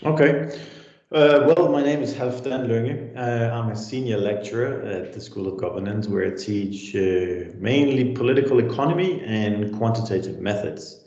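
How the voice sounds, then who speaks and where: A man's voice: mid-range voice, moderate pace, warm tone, echoey room, muffled recording. A man in his forties from Denmark, a senior lecturer answering interview questions in a reflective way with many restarts.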